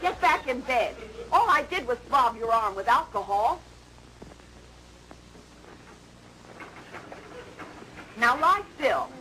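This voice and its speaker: Actress as brisk, high-voiced nurse. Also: female voice, expressive, close to an everyday voice. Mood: brisk, firm. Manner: brisk, patient, authoritative. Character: brisk, firm female hospital nurse soothing a patient. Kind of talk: situation comedy acting. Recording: noisy vintage broadcast.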